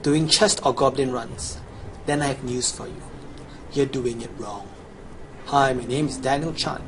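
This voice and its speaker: A man's voice: medium pitch, measured pace, noisy background. A young man with a Chinese accent, boasting through a promotional gameplay voice-over as he lists his gear and stats. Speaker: gamer promoting a gold guide.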